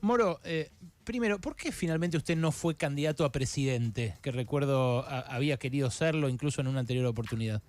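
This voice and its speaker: A man hosting a radio interview, speaking haltingly with many filler sounds and restarts. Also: medium pitch, lively intonation, a little mellow, no room sound. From Argentina. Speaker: radio host.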